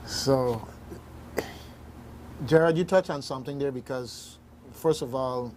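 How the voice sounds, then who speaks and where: Guy hosting a serious community radio talk, kinda halting but emphatic, bit of background noise. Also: mid-range voice, darkish tone. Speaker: radio talk show host.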